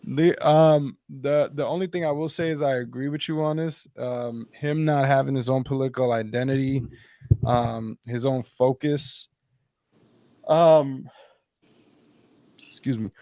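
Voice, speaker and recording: A man hosting a casual political chat over a video call, pausing with lots of fillers and restarts. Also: mid-range voice, darkish tone, no echo. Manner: halting, many fillers and restarts. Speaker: podcast host.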